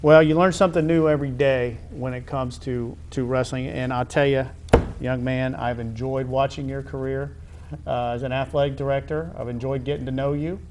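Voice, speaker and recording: A middle-aged American man conducting a conversational sports interview, halting and wordy, often repeating phrases. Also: medium pitch, steady tone, a little mellow, a little hiss or hum. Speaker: interviewer, high school athletic director.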